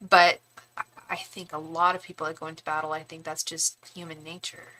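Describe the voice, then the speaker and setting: A woman's voice: low-pitched, level tone, fairly quick, bright, forceful tone, slight background noise, rough recording. A young woman doing a casual live-stream tarot reading, chatty and rambling as she talks straight to her viewers. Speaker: tarot reader and psychic.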